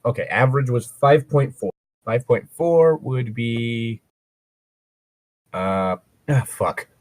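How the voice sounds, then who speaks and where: A man with a mid-pitched voice, recorded close and dry. He reads a story aloud casually and keeps wandering off into wry, joking asides, swearing freely. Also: takes it slowly, no echo. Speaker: co-host of a fan fiction reading show.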